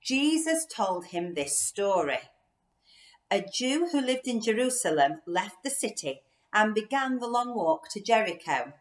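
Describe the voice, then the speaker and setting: An echoey room carries the voice of a woman with a middling pitch. She reads a children's Bible story aloud as a church storyteller, explaining things in simple, clear terms for young listeners. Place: inside a house.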